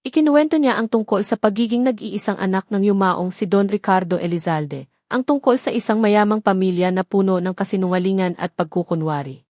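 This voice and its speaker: A woman narrates a romantic drama in a measured, dramatic way. Her voice sits at a middle pitch, recorded close and dry with no room around it. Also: darkish tone, no room sound. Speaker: story narrator.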